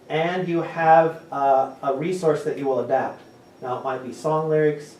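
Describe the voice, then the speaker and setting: Middle-aged male presenter addressing a classroom, picked up from afar with clear background noise. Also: medium pitch. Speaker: workshop presenter. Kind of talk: teaching talk and scripted reading to a class. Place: large classroom, indoors. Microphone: camera a few meters away. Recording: echoey room, far from mic, noisy.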